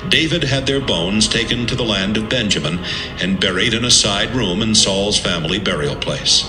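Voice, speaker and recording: A man narrating an audio Bible from a script in a measured, serious manner. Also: on the low side, animated. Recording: roomy sound with music underneath.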